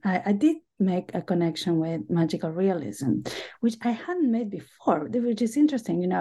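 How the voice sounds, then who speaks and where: A middle-aged woman from Argentina, an author and professor, answering interview questions reflectively with many pauses and restarts. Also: lowish voice, gravelly, mellow.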